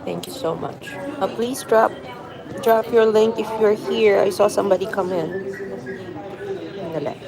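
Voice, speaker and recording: A woman's voice: medium pitch, moderate pace, creaky. A middle-aged woman from the Philippines chatting casually on a live stream from a coffee shop, rambling and reading out viewer comments. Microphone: phone on a mobile hotspot. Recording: crowded café chatter behind. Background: café crowd chatter.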